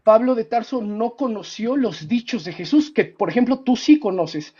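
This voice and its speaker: A man's voice: very high voice, animated, moderate pace, a little mellow, husky. Mexican man arguing over a dull line. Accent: Mexican Spanish. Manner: interrupts, repeats words, patronizing "mi estimado". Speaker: debater claiming to be a historian. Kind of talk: heated debate on a call.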